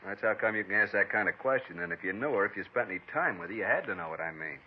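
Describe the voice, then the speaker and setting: An actor as a sullen streetwise ex-con. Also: male voice, fairly low pitch, expressive, racing, a little hiss or hum, lightly acted. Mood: scornful. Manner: defensive, self-pitying, streetwise slang. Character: defensive ex-con man, middle-aged, sullen and evasive. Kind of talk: 1950s radio drama acting. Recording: dull old radio broadcast.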